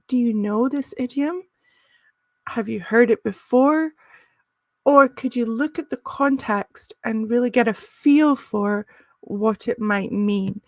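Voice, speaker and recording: A woman's voice: on the high side, no echo. A woman teaching a live business English lesson, friendly and encouraging, with frequent "um" and "uh" fillers.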